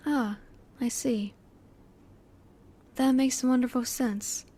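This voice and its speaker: A curious female alien, played by a woman in an audio roleplay. Her voice sits fairly high and has a mellow, soft tone. She asks things politely in formal, precise wording, keeping her emotions reserved. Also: fairly even intonation, slow pace. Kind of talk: roleplay audio drama, one-sided dialogue to the listener.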